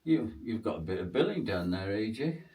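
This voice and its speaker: An older man, a pantomime dame and writer, reminiscing in a rambling, halting, sentimental way during a casual chat. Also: lowish voice, sing-song, darkish tone, a little hiss or hum.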